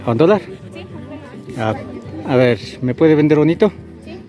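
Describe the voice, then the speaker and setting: A man's voice: on the high side, lively intonation, moderate pace, mellow, a faint crowd. A man, hosting a casual outdoor travel vlog in a tour-guide style. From Ecuador. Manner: upbeat, tour-guide style, inviting viewers. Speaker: vlogger host. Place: rural riverside hills outdoors. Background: music.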